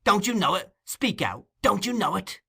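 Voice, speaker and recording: A man acting a desperate young thief girl from a classic storybook, her voice pushed high and sharp. She is furious and hysterically passionate, pouring out words in vehement, loud outbursts. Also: sing-song, normal speed, vocal fry, husky, heavily acted. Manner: vehement, torrential, screaming outbursts.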